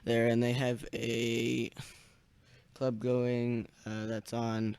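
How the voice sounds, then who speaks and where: Young male radio net host, mellow but smooth, halting casual delivery, dry close sound. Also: medium pitch, completely flat delivery, dry recording. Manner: halting, searching for words. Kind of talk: casual radio check-in chat. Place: small indoor radio room.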